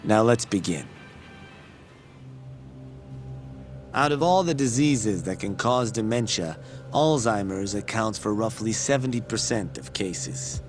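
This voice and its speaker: An actor playing a patient, earnest medical expert who explains things in a measured, articulate, didactic way in a video game. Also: male voice, on the low side, lively intonation, lightly acted. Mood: pleased, instructive. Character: learned male medical expert, patient, earnest, wryly teasing lecturer. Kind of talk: English video game dub, explanatory dialogue. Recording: background music under the voice.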